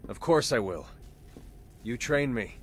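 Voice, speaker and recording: An actor playing an earnest, resolute young masked sidekick in a video game, speaking plainly and urgently. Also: male voice, mid-range voice, lively intonation, a little slow, slight background noise, lightly acted. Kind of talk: video game hero acting.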